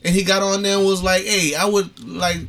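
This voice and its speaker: Opinionated man with a highish voice. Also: little pitch movement, fairly quick, gravelly, over a noisy crowd. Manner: profane, opinionated, explaining. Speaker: podcast co-host. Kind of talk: casual banter.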